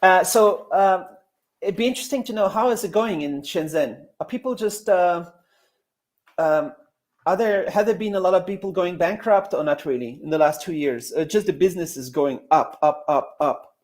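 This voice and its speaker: A man hosting a casual live interview, asking questions in a halting way, restarting sentences and thinking aloud. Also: high-pitched. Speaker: host, interviewer.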